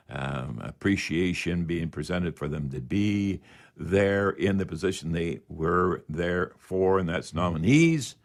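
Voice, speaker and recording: A man hosting a casual live radio show, talking in a folksy, rambling way. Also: low-pitched.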